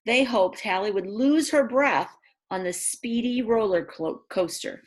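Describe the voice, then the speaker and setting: A woman reads a picture book aloud to young children in an expressive way, adding chatty asides about the pictures. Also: on the high side, measured pace. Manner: expressive, exclaims and gasps over the pictures. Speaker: read-aloud storyteller for children.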